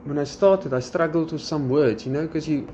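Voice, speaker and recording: A man's voice: fairly high pitch, normal speed. A South African man whose first language is Afrikaans, chatting casually as a podcast host and acting out his students' lines. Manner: animated, quotes his students. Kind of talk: casual chat. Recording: slightly dull sound with faint background noise.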